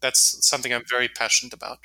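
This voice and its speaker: A man's voice: mid-range voice, monotone, fast talker, bright, forceful tone, low-quality recording. A man whose first language is Russian, speaking as an author and podcast guest, explaining his ideas earnestly with frequent fillers. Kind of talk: podcast interview answers.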